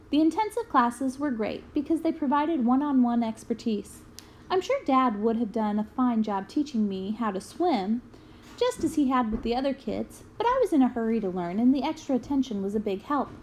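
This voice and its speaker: A woman with a voice on the high side reads a story aloud. Her delivery is expressive storytelling, made for young listeners following a book. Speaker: book reader. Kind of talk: expressive read-aloud of a children's memoir.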